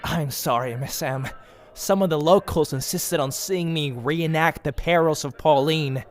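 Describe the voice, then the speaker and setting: A man voicing a skinny, excitable young cartoon bootlegger who talks in a theatrical, wordy, rambling way. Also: on the high side, a little slow, faint music behind, lightly acted. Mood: sheepish, grandiose. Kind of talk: animated comic dub acting. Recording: faint background noise.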